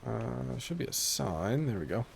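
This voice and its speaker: A man streaming a game, talking casually in a gravelly voice and rambling with many fillers. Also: mid-range voice, big pitch swings, moderate pace, slight background noise. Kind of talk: casual gameplay commentary.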